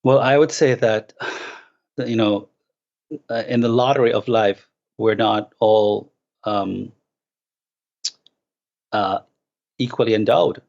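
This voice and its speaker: Gravelly Jamaican man, dull audio. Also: on the low side, fairly even intonation, a little mellow. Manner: measured, eloquent, with frequent restarts and hesitations. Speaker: guest author. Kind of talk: thoughtful interview answers.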